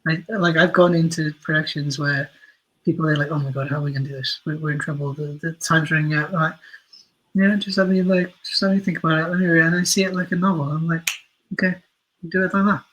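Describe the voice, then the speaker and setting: A male podcast guest talks casually and reflectively. His voice sits a little high for a man and moves within a narrow range. He is earnest, often restarting a phrase as he thinks. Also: steady tone, fast, a bit bright. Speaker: podcast guest, writer and director.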